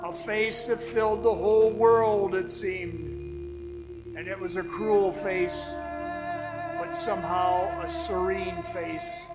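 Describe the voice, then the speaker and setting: A man playing a weary, awestruck man who recounts an eerie ordeal in a radio drama, dramatic, halting and haunted. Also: sits high, sing-song, heavily acted. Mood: awed. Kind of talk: dramatic radio play narration. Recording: music behind the voice.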